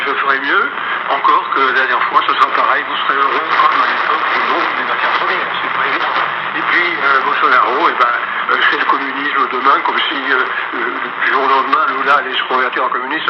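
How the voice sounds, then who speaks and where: A man giving unscripted political analysis as a guest commentator, speaking in a hesitant, halting way. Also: medium pitch. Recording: noisy muffled shortwave radio reception, echoey.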